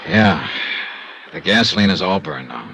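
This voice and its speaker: A man's voice: low-pitched, expressive, unhurried, gravelly, sharp tone, full character voice. An actor playing a cynical, world-weary male insurance investigator in a terse, sardonic 1950s radio noir style. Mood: cold, sardonic. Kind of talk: 1950s radio noir acting and first-person narration. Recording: noisy old radio drama recording.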